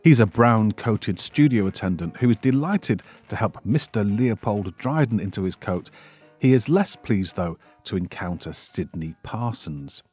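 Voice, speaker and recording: Low-pitched man narrating from a script. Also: lively intonation, a little slow, darkish tone, slightly rough, faint music behind. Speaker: film narrator and presenter.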